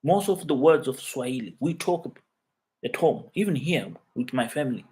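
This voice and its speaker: A man from Mozambique, whose first language is Chuabo, hosting a casual live stream from his living room and chatting with viewers in halting English full of fillers and restarts. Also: medium pitch, animated, a little mellow. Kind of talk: casual live chat reading comments.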